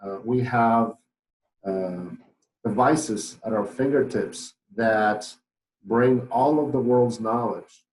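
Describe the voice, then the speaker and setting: A man's voice: medium pitch, takes it slowly, a little mellow, echoey room, a little hiss or hum, rough recording. A middle-aged man with a Polish accent, a podcast guest who talks thoughtfully with many fillers and restarts. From Poland. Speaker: guest, business owner. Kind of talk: podcast interview.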